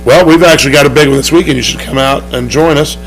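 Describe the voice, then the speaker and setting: A man with a hoarse voice and an Oklahoma accent, a race track promoter talking in a TV studio interview, upbeat and rambling with many restarts. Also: on the high side, steady tone, quick pace, a bit bright, faint music behind, rough recording.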